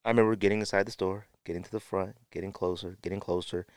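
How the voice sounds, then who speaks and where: Male American podcast host, lower register, dry recording, casual slangy storyteller who acts out dialogue. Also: quick pace, clear, resonant tone, no room sound. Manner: animated storyteller acting out quotes, slangy.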